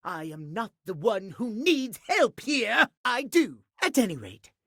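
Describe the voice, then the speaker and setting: A man's voice: high-pitched, sing-song, a little slow, vocal fry, bright, forceful tone, dry recording, clean studio sound, heavily acted. A male actor voicing a fussy, romantic timepiece in a video game, with formal, theatrical, precise diction. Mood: flirtatious, prim. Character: fussy, prim, schedule-obsessed male timepiece, romantic dandy. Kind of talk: video game character acting.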